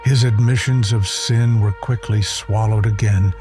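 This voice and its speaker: A man giving scripted, theatrical first-person narration for an audio drama in a solemn, measured manner. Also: fairly low pitch, steady tone. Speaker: narrator and voice actor. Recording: prominent music behind. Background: music.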